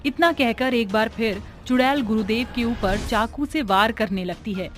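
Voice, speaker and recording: A woman's voice: on the high side, moderate pace. A woman reading scripted horror storytelling narration as the story's narrator. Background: background music.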